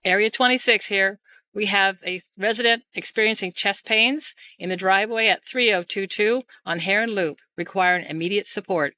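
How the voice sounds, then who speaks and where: A woman's voice: medium pitch, normal speed, bright, forceful tone, no echo. A woman giving scripted emergency reports as an area radio communicator in a drill.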